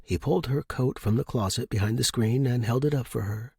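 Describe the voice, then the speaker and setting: A man's voice: mid-range voice, brisk, creaky, darkish tone, husky, no room sound, clean studio sound. A man hosting a story podcast and reading scripted narration. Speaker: podcast host and story reader.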